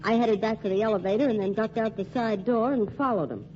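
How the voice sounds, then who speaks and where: A woman's voice: fairly high pitch, lively intonation, fast, darkish tone, natural voice. Woman acting a tough American nightclub singer, muffled recording. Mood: grudgingly confiding. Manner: curt, defiant, streetwise. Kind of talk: old-time radio drama acting. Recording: dull, noisy old radio recording.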